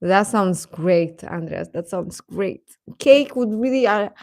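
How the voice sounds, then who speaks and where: A woman's voice: mid-range voice, animated, takes it slowly, a little mellow, dry recording, clean studio sound. A woman chatting casually as a livestream host, talking directly to viewers and often repeating her phrases.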